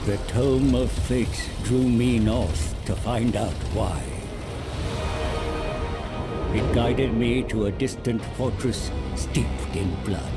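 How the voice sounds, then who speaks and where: An actor playing a cursed, scheming old scholar, narrating a dark fantasy tale in a theatrical, portentous, deliberate way. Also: male voice, on the low side. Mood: grave, portentous. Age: older. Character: cursed, scheming old male scholar, servant of a magic tome. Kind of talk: dramatic scripted fantasy narration.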